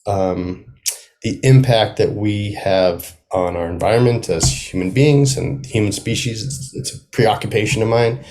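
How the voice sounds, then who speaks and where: A man talking casually as a playwright being interviewed, thinking aloud in a halting way. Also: lowish voice, dark tone. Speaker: playwright guest.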